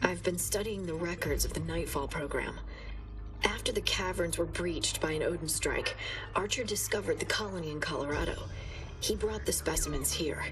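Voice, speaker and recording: An actress playing an isolated female scientist recording hushed, reflective audio logs in a game. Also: fairly low pitch, moderate pace, far from the mic, over music, close to an everyday voice. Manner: hushed, reflective journal narration. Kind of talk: game audio log narration.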